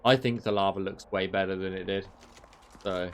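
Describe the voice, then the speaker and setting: A man's voice: sits low, steady tone, moderate pace, a little hiss or hum. A man, a game mod developer chatting casually on a livestream, rambling and answering chat with many fillers, his voice getting tired. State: losing his voice.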